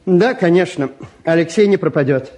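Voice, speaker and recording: Gentle, higher-voiced intellectual father played by an actor. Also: expressive, moderate pace, lightly acted. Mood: soothing. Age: middle-aged. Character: gentle, mild intellectual father, absorbed in his work. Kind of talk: mid-century Soviet radio-play acting.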